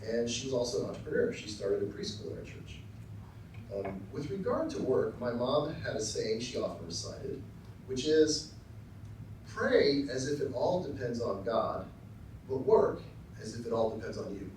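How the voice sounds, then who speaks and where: A middle-aged man from Texas giving a formal acceptance speech with dry, self-deprecating humor, often pausing with ums and uhs. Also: mid-range voice, big pitch swings, a little mellow, slight background noise. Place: large lecture hall. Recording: very echoey hall, voice from far off.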